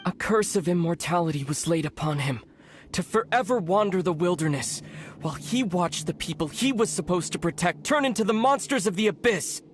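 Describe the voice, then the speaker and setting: A man's voice: sits high, lively intonation, a little hiss or hum. An actor playing a grave, resolute young man in a game who leads the Abyss and speaks to his sister. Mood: solemn. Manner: grave, measured, solemn. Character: young man, lost brother leading the Abyss, solemn and resolute. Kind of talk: game cutscene voice acting.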